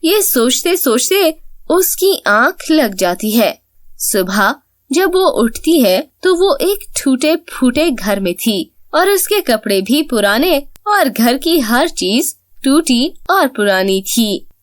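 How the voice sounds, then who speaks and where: A woman storyteller narrating for children in a very high, lively voice. Her delivery is slow and the sound is close and dry. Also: no room sound.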